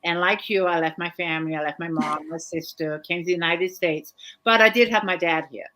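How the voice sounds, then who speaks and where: A woman's voice: fairly low pitch, steady tone, brisk, fairly bright tone. A woman from England hosting a live author chat, chatty and welcoming, greeting viewers by name. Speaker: host. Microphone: video call.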